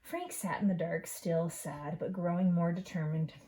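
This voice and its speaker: A woman, a school principal, reading a children's story aloud in an expressive, unhurried way. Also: on the low side.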